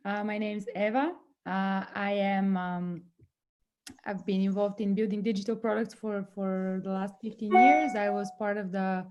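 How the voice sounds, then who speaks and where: A woman introducing herself on an online panel, in a measured, hesitant way with many fillers. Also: mid-range voice, a little mellow. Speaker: panel guest, startup co-founder.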